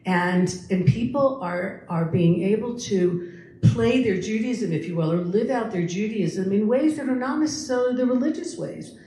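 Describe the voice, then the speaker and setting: A woman's voice: mid-range voice, moderate pace, dark tone. Echoey lecture by an opinionated woman. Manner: opinionated, explanatory, emphatic repetition. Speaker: guest speaker. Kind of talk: public lecture on current affairs, conversational. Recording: echoey room with faint background noise.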